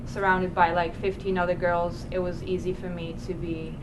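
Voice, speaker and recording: A woman's voice: fairly low pitch, flat delivery, normal speed, background noise. A woman answering as a student panelist, talking casually with lots of "like" and "um". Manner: casual, many fillers like "like" and "um".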